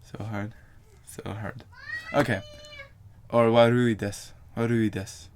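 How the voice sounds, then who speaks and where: A man's voice: fairly low pitch, level tone, unhurried, clear, resonant tone. A young man vlogging to camera in a casual, rambling way, full of fillers and self-corrections.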